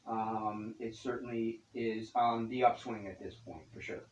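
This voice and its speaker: Guy accountant, mid-pitch, stop-start answers, echoey room, mic far away, faint hiss. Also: fairly even intonation, a little slow, lo-fi sound. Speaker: accountant, business partner. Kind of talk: casual interview answers. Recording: roomy echo, distant mic, faint hiss.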